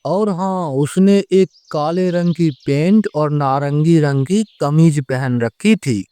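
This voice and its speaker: A man's voice: fairly high pitch, slow, warm tone, clear, resonant tone. A man playing a worried village politician in a horror cartoon, fretting and questioning as he goes. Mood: anxious. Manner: fretful, muttering questions to himself. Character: worried male village politician searching for missing guest. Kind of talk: Hindi horror cartoon voice acting.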